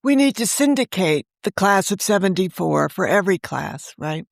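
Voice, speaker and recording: A woman in her sixties, a novelist guest on a remote interview, talking in a chatty, animated way and often jumping in over the host. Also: mid-range voice, moderate pace, creaky, no room sound, clean studio sound. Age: 60s. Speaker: novelist and podcast creator, guest. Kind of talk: casual interview chat.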